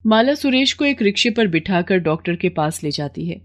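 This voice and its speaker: A woman's voice: medium pitch, lively intonation, moderate pace. Casting: female narrator for a scripted moral tale, mid-range voice.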